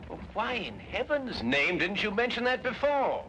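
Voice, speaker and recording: An English actor playing a brisk, impatient, commanding gentleman detective in an old-time drama. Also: high voice, huge pitch swings, a little hiss or hum, full character voice, poor audio. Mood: brisk, exasperated. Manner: urgent, clipped, deductive.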